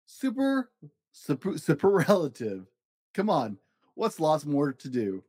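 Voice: medium pitch, wildly swooping pitch, slow, darkish tone, breathy edge, no room sound, exaggerated voice. A reader voicing an exuberant, eccentric man who pilots a balloon in a rhyming children's story, theatrical and full of exclamations. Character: exuberant eccentric male balloon pilot, chief soup supervisor.

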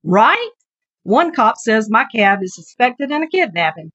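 A woman's voice: a little high, sing-song, a little slow, fairly bright tone, no room sound, pitched above the performer's own voice, exaggerated voice. A woman reading a story, playing a good-humoured, folksy female cab driver telling an anecdote. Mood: amused. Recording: dull lo-fi audio, background noise.

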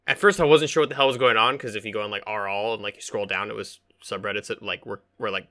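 A man's voice: lowish voice, steady tone, quick pace, a bit bright. A man co-hosting a podcast, chatting in a casual, joking and rambling way with some swearing. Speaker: podcast co-host. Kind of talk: casual comedic chat.

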